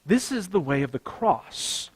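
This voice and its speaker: A male pastor with a high-pitched voice reads a devotional text solemnly in a church. His delivery is slow and declamatory, each phrase carefully set apart. The sound carries a long hall reverb. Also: sing-song, slightly rough. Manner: deliberate, declamatory, measured phrasing. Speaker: pastor reading at a church service. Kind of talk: solemn scripted devotional reading. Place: large church during a service.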